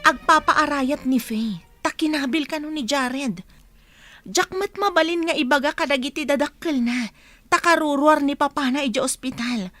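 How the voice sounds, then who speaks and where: A woman's voice: high voice, moderate pace, fairly bright tone, no room sound, soft background music. A woman playing an earnest, secretive household helper in a radio drama, urgent and conspiratorial. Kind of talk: Ilocano radio drama acting.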